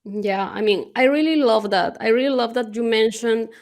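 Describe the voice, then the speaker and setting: A woman's voice: on the high side, normal speed. A woman hosting a virtual Q&A interview, encouraging and affirming, often ending with "right?".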